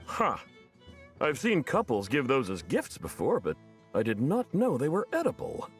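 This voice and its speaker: A man voicing a friendly, upbeat young sweets vendor in a video game, chatty and expressive. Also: mid-range voice, normal speed. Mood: helpful, puzzled. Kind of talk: animated video game voice acting. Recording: music under the voice.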